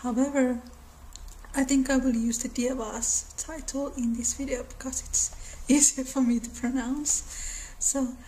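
Slow-talking woman with Finnish accent. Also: fairly high pitch, slight background noise, low-quality recording. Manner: slow, thoughtful, with soft laughs and small restarts. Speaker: mythology channel host. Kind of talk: casual educational talk to camera. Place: living room by a window.

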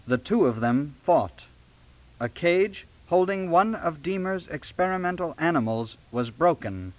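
A man narrating a children's read-along book in a measured, deliberate storytelling style with dramatic emphasis, on a late-1970s audio cassette. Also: fairly high pitch.

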